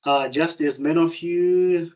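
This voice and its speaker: Young man from Zimbabwe, student presenter, hesitant with fillers, fairly high voice, video-call mic. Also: steady tone, a little slow, darkish tone, highs cut off. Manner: hesitant, many fillers and restarts. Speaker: student speaker. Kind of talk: online open day presentation.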